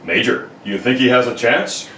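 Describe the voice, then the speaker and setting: A man's voice: mid-range voice, moderate pace, sharp tone, lightly acted. A man playing a solemn army general with grave orders in an old-time radio drama. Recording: roomy, distant mic with faint noise.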